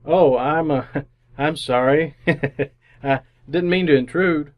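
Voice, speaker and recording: An actor playing an awkward, lonely city bachelor in an old-time radio drama, halting and bemused as he talks and narrates. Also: on the high side, muffled recording. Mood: flustered.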